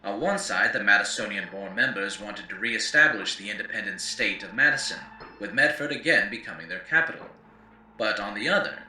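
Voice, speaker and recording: An actor playing a grave, eloquent chronicler of a ruined world, narrating lore in formal, theatrical oratory for an audio drama. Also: male voice, lowish voice, bright, forceful tone, roomy sound, recorded from a distance, slight background noise, low-quality recording. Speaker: narrator and host. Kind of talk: scripted in-character lore narration for an audio series.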